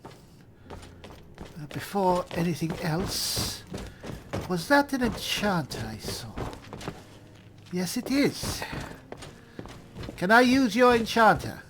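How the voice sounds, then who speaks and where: A man's voice: high-pitched, very animated, slow pace, pauses often, noisy background. A man roleplaying through a fantasy game in casual commentary, with dry, wry, offhand replies. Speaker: gamer roleplaying as an elf spellsword.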